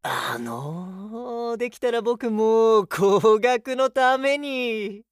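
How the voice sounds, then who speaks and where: A meek, scruffy young customer in an audio drama. His voice is high and swings up and down, with slow, hesitant, polite phrasing. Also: animated, smooth, no room sound, clean studio sound, full character voice. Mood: sheepish. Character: scruffy, timid, hard-up young male customer. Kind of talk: Japanese audio drama acting.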